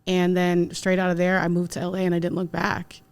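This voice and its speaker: A woman with a fairly low, clear voice talks casually as a panel guest. She tells personal stories in an animated way, often voicing her own thoughts as dialogue. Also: fairly quick.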